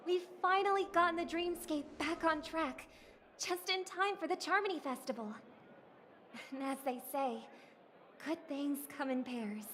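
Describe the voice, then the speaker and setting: A graceful idol giving a formal address. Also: female voice, high voice, a bit bright, a little hiss or hum. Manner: measured, formal, ceremonial. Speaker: festival host. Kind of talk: video game voice acting. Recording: game audio with clear music behind.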